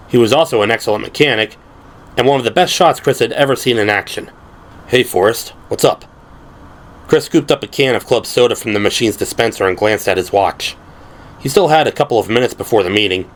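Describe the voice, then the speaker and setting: A man reading scripted dramatic narration as an audiobook narrator, in a measured, descriptive storytelling manner. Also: lowish voice. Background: prominent music.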